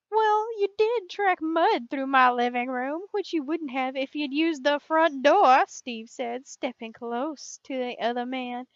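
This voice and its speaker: A woman with a high voice reads a piece of fan fiction aloud. She sounds amused by what she is reading. The recording is close and dry but dull and lo-fi. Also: dry recording.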